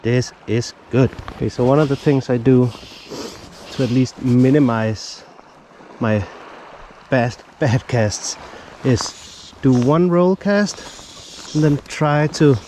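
A man in his thirties hosting a fishing vlog outdoors on a sea shore, talking in a relaxed, chatty, self-mocking way into a lapel mic. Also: mid-range voice, slow pace, pauses often, slight background noise. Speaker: fishing show host.

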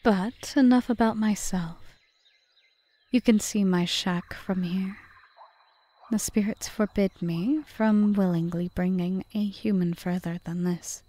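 A woman playing a calm, calculating forest witch in an audio roleplay, speaking in a measured, formal, often whispery way. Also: medium pitch. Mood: solemn, wistful. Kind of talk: ASMR roleplay monologue.

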